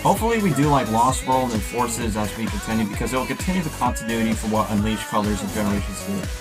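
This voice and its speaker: A man's voice: fairly low pitch, lively intonation, fast, over music. A man hosting a reaction video, talking casually and enthusiastically as he comments.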